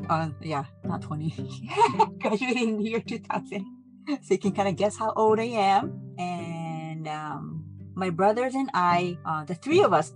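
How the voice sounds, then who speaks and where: Woman in her 40s, slight japanese accent, chatty, lowish voice. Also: low-quality recording. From Hawaii. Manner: chatty, laughs easily. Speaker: nurse and part-time minister, co-host. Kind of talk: casual podcast chat.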